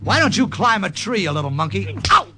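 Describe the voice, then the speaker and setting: An actor with an American accent playing a wisecracking soldier hero who narrates and acts in a vintage radio drama. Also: male voice, high-pitched, very animated, fairly quick. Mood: wry. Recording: old radio recording with music behind.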